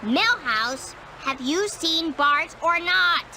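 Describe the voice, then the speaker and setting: A woman's voice: extremely high pitch, animated, slow pace, pushed and bright, slight background noise, full character voice, poor audio. A woman voicing an earnest, impatient eight-year-old girl in a cartoon game, sounding insistent and exasperated. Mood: worried, impatient.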